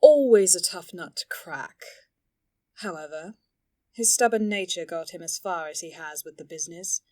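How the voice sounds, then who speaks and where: A woman playing a middle-aged elf noblewoman and mother in a fantasy audio drama, measured, composed and dryly teasing. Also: fairly low pitch, darkish tone, natural voice. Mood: wry, composed.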